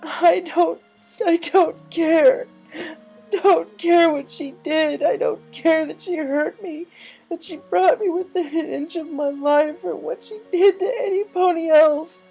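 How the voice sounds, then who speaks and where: A woman's voice: very high-pitched, fairly even intonation, creaky, mellow, soft background music, pitched above the performer's own voice, full character voice, low-quality recording. A woman voicing a brash, loyal young female pegasus pony in a story, blunt and impassioned. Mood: sobbing, pleading. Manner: blunt, impassioned, halting when upset.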